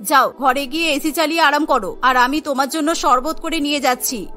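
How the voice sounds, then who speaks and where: A middle-aged cartoon mother-in-law, acted by a woman, stern and protective. The voice is very high and bright, with an emphatic scolding manner. Faint music plays underneath. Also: brisk. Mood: firm, bossy. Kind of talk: Bengali cartoon story acting.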